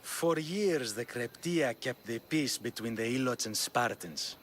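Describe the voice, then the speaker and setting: A shrewd, grave Spartan leader in a game cutscene. His medium-pitched delivery is dry and wry, set against noticeable ambient noise. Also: expressive, unhurried, fairly bright tone. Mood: grave, disdainful. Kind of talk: dramatic video game acting.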